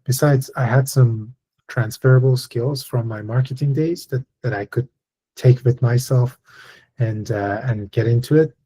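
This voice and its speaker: Man from Iran, mellow voice. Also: mid-range voice, husky. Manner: measured, thoughtful, frequent uh and um fillers. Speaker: guest speaker. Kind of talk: personal story in a webinar talk.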